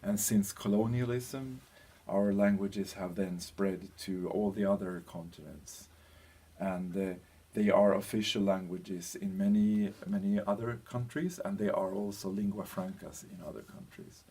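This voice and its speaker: A European man giving an informal explanatory lecture as a teacher, speaking in halting phrases with pauses and a husky voice. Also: low-pitched, warm tone.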